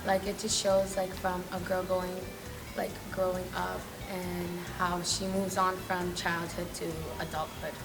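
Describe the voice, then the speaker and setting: A woman's voice: on the low side, flat delivery, unhurried, distant mic, over music, low-quality recording. A teenage girl from El Salvador giving eager interview answers with many fillers. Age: teens. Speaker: interviewed student.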